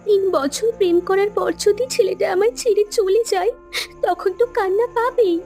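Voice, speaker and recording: Cartoon jilted young woman, female actor: extremely high voice, plaintive, hesitant. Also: normal speed, dark tone, faint music behind. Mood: hurt. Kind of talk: Bengali cartoon story acting.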